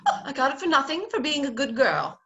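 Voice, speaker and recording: Woman acting a high, swooping flustered society bride. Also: big pitch swings, fast, sharp tone, muffled recording, full character voice. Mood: brittle, breezy. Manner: theatrical, exclamatory. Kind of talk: radio play acting.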